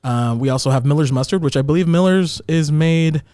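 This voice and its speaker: A man's voice: fairly high pitch, fairly quick, dark tone, no room sound, studio quality. A man appearing as a chef guest on a food podcast, explaining a recipe in joking, rambling casual talk. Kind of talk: casual cooking chat.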